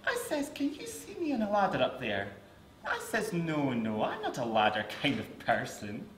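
A young man with a Scottish accent performing a multi-voiced verbatim spoken word piece, switching between overheard voices on a dark theatre stage. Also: on the high side, wildly swooping pitch, normal speed, reverberant, a little hiss or hum.

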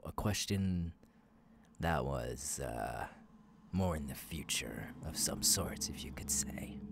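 A man voicing a sadistic masked killer in an ASMR roleplay, husky and taunting, slow and halting, often dropping to a whisper. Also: very deep voice, a little hiss or hum, full character voice. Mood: coldly taunting. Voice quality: husky, gravelly. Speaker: voice actor, ASMR artist. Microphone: close, dry microphone.

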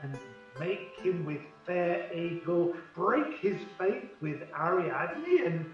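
A man's voice: high-pitched, animated, takes it slowly. A man playing a jealous, imperious, scheming fairy king in a Shakespearean radio play, with theatrical, commanding delivery. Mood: reproachful. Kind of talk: Shakespearean radio drama verse. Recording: very echoey, distant, muffled, music behind. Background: music.

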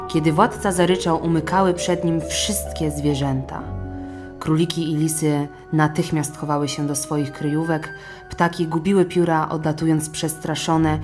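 Unhurried low-voiced woman narrating a children's tale. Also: a little mellow. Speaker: audiobook narrator. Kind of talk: scripted children's story narration.

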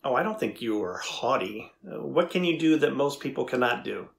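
Man as a gravelly, husky, curious researcher. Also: mid-range voice, expressive. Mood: curious, kind. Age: middle-aged. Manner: deliberate, inquisitive. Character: thoughtful, curious male AI researcher interviewing a machine. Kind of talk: dramatized transcript reading. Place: indoors before a studio backdrop.

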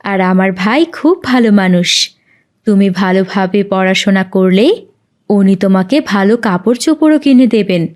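A woman's voice: mid-range voice, moderate pace, darkish tone, close to an everyday voice. A woman voicing an earnest, preachy young aunt in a cartoon. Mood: reassuring, earnest. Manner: earnest, admonishing. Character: kindly, preachy young aunt helping street children. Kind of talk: scripted cartoon dialogue.

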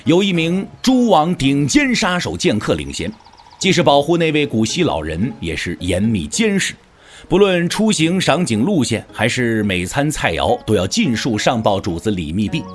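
Man, dramatic martial-arts narration, husky, widely swinging pitch, measured and expressive. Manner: storyteller's measured, expressive delivery. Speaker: audiobook narrator. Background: background music.

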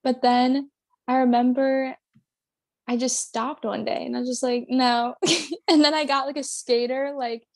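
A woman's voice: sits high, flat delivery, unhurried, no room sound, clean studio sound. A teenage girl chatting on a podcast as a guest, giggly and easygoing. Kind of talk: casual chat.

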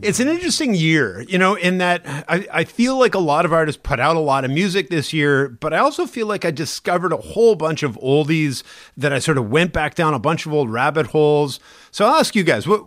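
A man's voice: on the high side, sing-song, brisk, fairly bright tone, no room sound. A man hosting a radio chat about the year's best albums, asking guests enthusiastic questions. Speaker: host.

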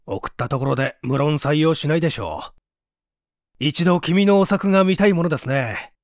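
A man voicing an eager, eccentric young mystery fanatic in polite, excitable audiobook dialogue. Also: a little high, moderate pace, no room sound, clean studio sound. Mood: excited. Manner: polite, excitable, animated speech.

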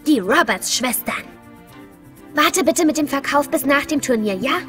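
A confident teenage girl in an animated film. She speaks high and insistently, caring but stubborn, over faint music. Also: measured pace. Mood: concerned, determined. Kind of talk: German animated film dub.

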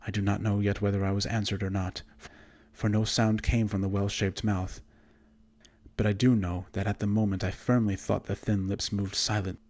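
Male narrator for suspense and horror fiction: slightly deep, measured delivery, formal literary reading. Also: darkish tone. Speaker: audiobook narrator and voice actor. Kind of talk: scripted narration of a horror story.

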